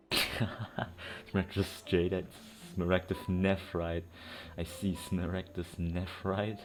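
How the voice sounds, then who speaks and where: Female gamer: very low voice, slow hesitant casual reactions, faint game music underneath. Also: little pitch movement. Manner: hesitant, amused. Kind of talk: casual game playthrough commentary.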